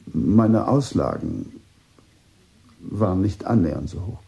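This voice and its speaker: Composed, courteous confidant, mellow voice. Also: male voice, low voice, lively intonation, vocal fry, slightly rough, no echo, full character voice. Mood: polite, careful. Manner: polite, measured, probing. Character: composed, courteous adult man, discreet confidant. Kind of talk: German radio drama acting.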